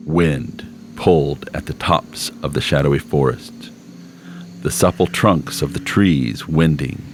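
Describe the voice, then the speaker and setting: A man reading a literary short story aloud in measured, unhurried scripted narration, with slowed phrases. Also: extremely low pitch, slight background noise. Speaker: story reader. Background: background score music.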